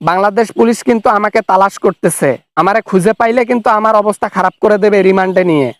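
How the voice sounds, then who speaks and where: Guy doing a defiant cartoon official on the run, really high voice, accusing and threatening, dry sound. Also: fast, no room sound, lightly acted. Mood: urgent. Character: angry, defiant fugitive male official, funny cartoon caricature. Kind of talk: comic cartoon dub, phone argument.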